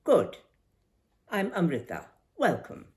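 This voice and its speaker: An older woman voicing a confident, forthright young Indian schoolgirl in a memoir reading, brisk and emphatic. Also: on the low side, huge pitch swings, a little mellow, pitched above the performer's own voice, exaggerated voice. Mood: bright, welcoming.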